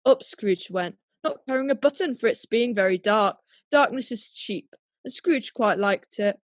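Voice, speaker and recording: A woman narrating a radio play as a storyteller, measured and dramatic. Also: mid-range voice, animated, dry recording, clean studio sound.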